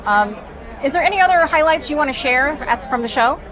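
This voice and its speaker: A woman's voice: high-pitched, lively intonation, brisk. A woman interviewer speaking conversationally in a trade show interview. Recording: crowd noise of trade show floor.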